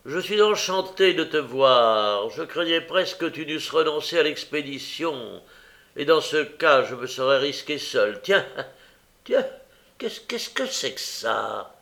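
A man acts out a cocky housebreaker from a storybook. The voice is big and pushed up in pitch, with a barking, sarcastic way of ordering people about. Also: lively intonation, unhurried, a bit bright. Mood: jovial, cocky. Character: gruff, bossy housebreaker, flashy and mocking, adult man. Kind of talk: dramatised audiobook reading.

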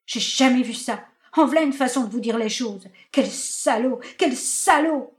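A woman voicing a furious young woman, screaming shrill, high-pitched outbursts at her lover. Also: a little slow, natural voice. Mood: outraged.

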